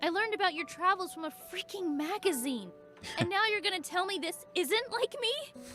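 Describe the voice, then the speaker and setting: A woman's voice: extremely high pitch, animated, moderate pace, pushed and bright, soft background music, full character voice. A woman voicing a stubborn young mage who feels left behind, speaking heartfelt and stammering when upset. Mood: hurt. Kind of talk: visual novel voice acting.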